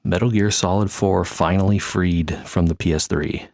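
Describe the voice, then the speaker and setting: A man with a very deep voice co-hosts a gaming podcast. He talks in a casual, conversational way. The sound is dry, with little room to it. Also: steady tone, moderate pace, gravelly, a little mellow, dry recording. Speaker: podcast co-host. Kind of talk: casual video game podcast chat.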